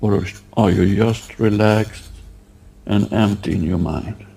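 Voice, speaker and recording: A man leading a guided meditation, giving halting, unhurried instructions and asking gentle questions. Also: on the low side, fairly even intonation, creaky, breathy edge.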